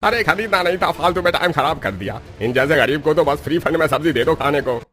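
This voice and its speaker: A man's voice: fairly high pitch, expressive, fast talker, sharp tone. A man playing a rude, grumpy street trader and moneylender in a cartoon story, with a brusque, scolding manner. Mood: angry, threatening. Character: grumpy, rude male vegetable seller and bullying moneylender. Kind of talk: Hindi cartoon story acting. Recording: music behind the voice.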